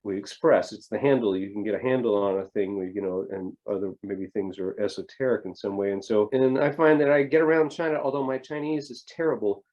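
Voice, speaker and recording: A man's voice: lowish voice, darkish tone, muffled recording. A middle-aged man talking reflectively in an interview, with hesitant restarts and rambling thoughts. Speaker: family therapist, guest.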